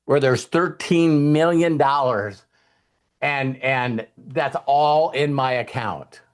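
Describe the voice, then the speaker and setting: A man's voice: mid-range voice, slow pace. A man hosting a casual motivational talk to a group, speaking informally and checking in with his listeners.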